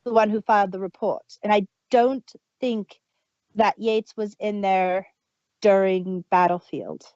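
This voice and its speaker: A woman's voice: mid-range voice, fairly even intonation, measured pace, darkish tone, dry recording. Chatty woman with a dull, dry sound. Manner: chatty, sharing details. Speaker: podcast co-host. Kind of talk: casual fan discussion.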